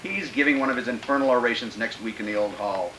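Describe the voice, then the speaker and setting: An actor playing a megalomaniac, menacing political schemer in a radio drama, declaiming in a grandiose, theatrical way. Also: male voice, medium pitch, quick pace, fairly bright tone, echoey room, a little hiss or hum, close to an everyday voice, low-quality recording. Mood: smug. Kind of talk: old-time radio drama acting.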